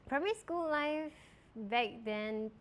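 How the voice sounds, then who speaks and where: A woman's voice: high voice, lively intonation, takes it slowly, a crowd in the background. Chatty young woman. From Taiwan. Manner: chatty, lots of filler "like". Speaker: podcast guest. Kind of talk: casual chat.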